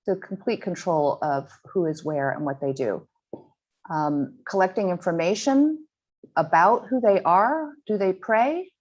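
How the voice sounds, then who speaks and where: Woman guest speaker, slightly lower voice, earnest, fumbles and restarts while walking through slides. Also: lively intonation, a little mellow, muffled recording. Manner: earnest, halting with frequent ums and restarts. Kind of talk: online slide presentation to a school audience.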